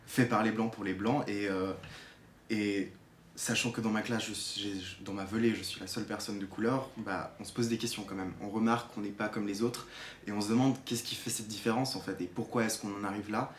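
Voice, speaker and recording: A man's voice: fairly low pitch, steady tone, a little fast. A twenty-year-old man from Geneva gives an unscripted personal testimony, hesitant and searching for words as he explains. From Geneva, Switzerland. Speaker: drama student giving a testimony. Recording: echoey room with faint noise.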